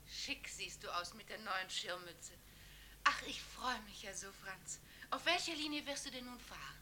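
Bright-voiced, delighted woman. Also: mid-range voice, lively intonation, normal speed, a little hiss or hum. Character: proud, happy, affectionate woman close to the driver. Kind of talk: radio play acting.

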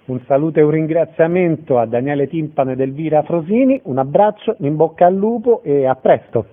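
A man's voice: on the high side, brisk. A man hosting a radio interview, putting questions to guests and introducing them. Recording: tinny, band-limited phone-like sound.

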